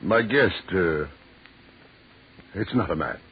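An actor playing a blustering, guilty British husband who stammers and hedges, in 1940s radio drama style. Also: male voice, sits low, expressive, takes it slowly, husky, full character voice. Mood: flustered, evasive. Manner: hesitant, stammering, harrumphing. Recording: muffled, tinny 1940s radio recording.

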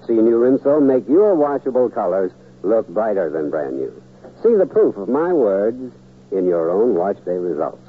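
A man giving an earnest, emphatic scripted sales pitch as a 1940s radio commercial announcer. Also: very animated, normal speed, slightly rough. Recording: noisy, narrow-band old radio recording.

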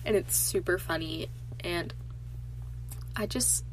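A woman's voice: lowish voice, slow, slight background noise, low-quality recording. A teenage girl hosting a casual solo podcast, nasal and congested from allergies, chatty, with lots of filler words. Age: teens. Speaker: podcast host.

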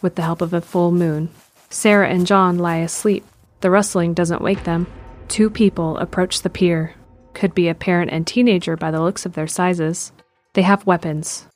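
A woman narrates an audio drama in a calm, measured manner. Her voice sits a little low. Faint music plays quietly behind her.

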